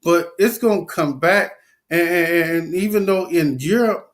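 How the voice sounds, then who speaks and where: A man reading scripture aloud and preaching in a casual talk, stumbling, repeating words and filling gaps with "uh". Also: high voice. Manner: halting, many repeats and fillers.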